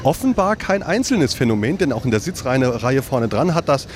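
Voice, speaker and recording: A man's voice: medium pitch, big pitch swings, moderate pace. A man hosting casual live radio from inside a tour bus, chatting and teasing passengers in a jovial way. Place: inside a moving coach. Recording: clear vehicle noise on location.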